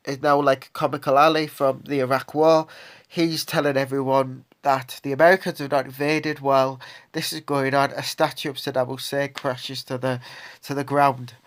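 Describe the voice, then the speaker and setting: A man talks to camera in a vlog, his voice thick with a cold and a stuffy nose. He speaks at a middling pitch with an indignant edge. His delivery rambles, with frequent pauses and restarts. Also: fairly bright tone. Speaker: vlogger. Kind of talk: solo political opinion monologue.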